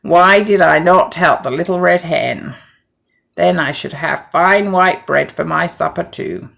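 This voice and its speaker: A woman's voice: on the low side, unhurried, creaky, a bit bright, heavily acted. A woman voicing a lazy, greedy, self-pitying duck in a storybook, in a quacking manner. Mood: sorrowful.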